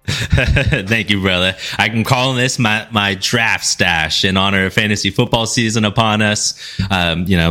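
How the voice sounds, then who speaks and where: A man's voice: on the low side, sharp tone. A man co-hosting a casual sports podcast, enthusiastic and full of slangy hype-man talk. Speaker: podcast co-host.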